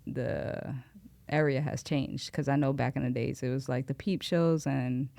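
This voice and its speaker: A woman's voice: rumbling low, fairly even intonation, measured pace, creaky, warm tone, no room sound. A woman hosting a podcast interview in a friendly manner, asking questions and giving short, encouraging replies. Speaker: podcast host, DJ.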